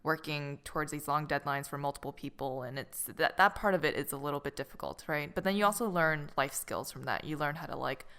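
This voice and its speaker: A woman's voice: low-pitched, a little fast, hardly any pauses, fairly bright tone. A young woman from Boston, co-hosting a casual podcast chat in an easygoing way with frequent laughs. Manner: easygoing, laughs often, many "like" fillers. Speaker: podcast co-host.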